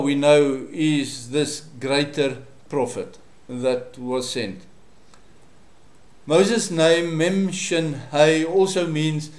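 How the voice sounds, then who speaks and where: A South African man, a native Afrikaans speaker, giving a Bible teaching in a measured, didactic way, pausing between short phrases. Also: on the high side, a little mellow. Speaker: religious teacher. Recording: echoey, roomy sound.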